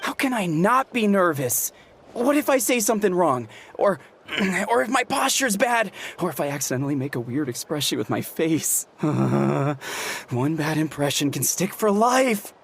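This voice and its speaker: Excitable, animated young warrior. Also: mid-range voice, unhurried, vocal fry, a little hiss or hum. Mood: panicky. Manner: stammering when flustered, gushing, earnest. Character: excitable young warrior, bashful yet bold hero admirer. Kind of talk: video game voice acting. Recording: music behind the voice.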